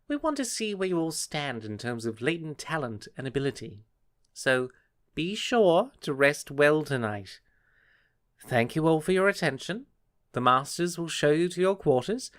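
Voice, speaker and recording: A man playing a composed, welcoming fantasy grandmaster in an audio drama, giving a measured, formal address. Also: on the high side, a bit bright, no echo, clean studio sound.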